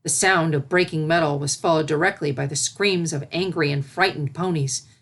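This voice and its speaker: A woman reading a story as narrator, with expressive scripted delivery. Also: sits low, moderate pace. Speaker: fan fiction narrator.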